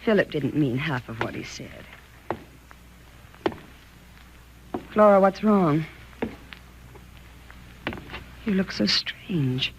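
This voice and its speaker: An actress playing an anxious, frightened wife in a 1940s radio thriller, polite, hesitant and pleading. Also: female voice, low voice, lively intonation, normal speed. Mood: confused, fearful. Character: anxious, frightened wife doubting her husband. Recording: noisy, crackly 1940s radio recording.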